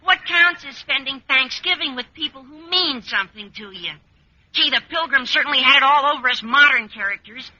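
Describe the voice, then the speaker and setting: A man playing an eager, guileless high-school boy in an old radio comedy, gushing earnestly with an adolescent crack in his delivery. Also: animated, a little fast, sharp tone, heavily acted. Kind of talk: 1940s-50s radio sitcom acting. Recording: muffled vintage radio recording.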